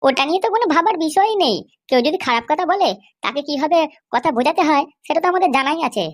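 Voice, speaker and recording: A woman's voice: sits high, lively intonation, fast talker. A woman voicing a scheming, eager political henchwoman in a comedy cartoon, deferential yet assertive.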